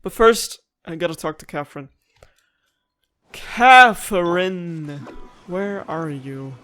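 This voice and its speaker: A woman's voice: lowish voice, expressive, slow, fairly bright tone. A woman giving casual let's-play commentary as a gaming streamer, emotive and dramatic, with loose, sweary phrasing. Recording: game music underneath.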